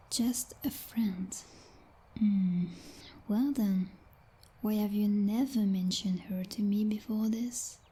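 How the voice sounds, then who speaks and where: A very breathy, whispering female vampire knight, played by a woman in an ASMR roleplay. She is possessive and coldly domineering, speaking slowly with formal, teasing condescension. Her tone is mellow and dark, with a quiet menace. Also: mid-range voice. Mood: suspicious, interrogating.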